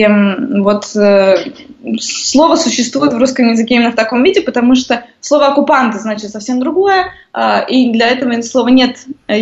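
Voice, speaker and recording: A woman's voice: a little high, darkish tone. A woman in her thirties, a guest explaining things in casual conversation, with many hesitations. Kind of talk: conversational interview. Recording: lo-fi muffled audio.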